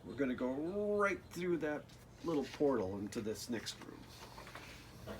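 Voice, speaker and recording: A man's voice: mid-range voice, lively intonation, a little slow, slight background noise. A man reading a children's picture book aloud expressively, with casual asides addressed straight to young listeners. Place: outdoors.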